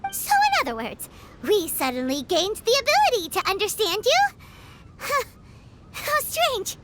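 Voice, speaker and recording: A woman voicing a small excitable fairy girl companion in a video game, with a squeaky childlike voice. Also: a little slow, very noisy, full character voice. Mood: flustered, curious. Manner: refers to self in third person. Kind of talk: video game character dialogue. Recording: loud music behind.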